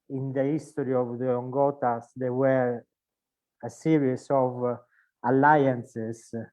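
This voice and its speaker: A man from Sicily with an Italian accent, presenting his linguistic research in a webinar from his home, speaking haltingly with many fillers and restarts. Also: mid-range voice. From Sicily, Italy. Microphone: video call. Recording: dull lo-fi video call audio.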